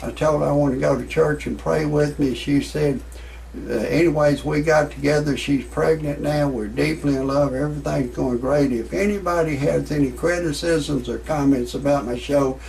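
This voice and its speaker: A man's voice: fairly high pitch, steady tone, normal speed, gravelly, a little mellow, slight background noise, poor audio. A man in his sixties giving a folksy, rambling amateur comedy monologue to his online audience. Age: 60s. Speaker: host of own online comedy show. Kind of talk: amateur stand-up style monologue with commentary.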